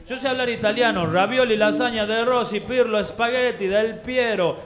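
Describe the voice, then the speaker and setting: A man's voice: very high voice, normal speed, fairly bright tone. Man, young, Argentine accent, radio host, joking, boastful, roomy studio. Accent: Argentine Spanish. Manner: playful, boastful, mock Italian. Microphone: studio mic with headphones. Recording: roomy studio with music behind.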